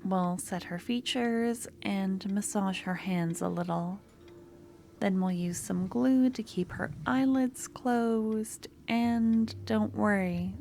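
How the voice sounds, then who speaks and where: Actress as obsessive young student girl, ASMR roleplay. Soft, slightly high, dark-soft tone, hesitant sweetness, faint noise behind. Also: vocal fry. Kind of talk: ASMR audio roleplay, addressing the listener.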